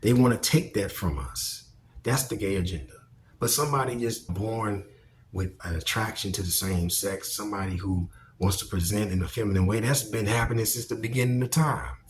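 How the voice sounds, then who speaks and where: A man giving a blunt, profane, opinionated monologue in a deep, projecting voice. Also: normal speed, slight background noise. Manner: blunt, profane, preachy and emphatic. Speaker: commentary vlogger.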